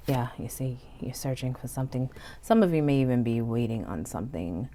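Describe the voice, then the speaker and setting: Woman tarot reader, very deep, mellow voice, stuffy and hoarse, chatty, faint hum behind. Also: brisk. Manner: relaxed, chatty, frequent "okay?" and "you know". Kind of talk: casual tarot reading. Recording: faint background noise.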